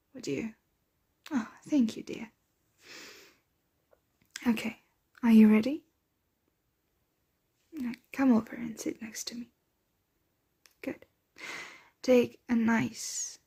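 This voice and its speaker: A woman playing a doting, genteel vampire mistress in an ASMR roleplay, speaking softly and breathily in a soothing, possessive, coaxing manner. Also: fairly high pitch, gravelly, slightly rough, heavily acted. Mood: gentle, pleased. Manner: slow, coaxing, whispery soft-spoken delivery. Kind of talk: ASMR roleplay, soft intimate close speech.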